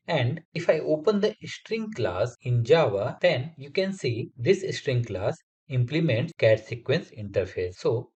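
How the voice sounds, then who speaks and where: A man's voice: mid-range voice, darkish tone, breathy edge, dull audio. A man, a Hindi speaker, explains a coding tutorial step by step over a screen recording. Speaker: software testing instructor.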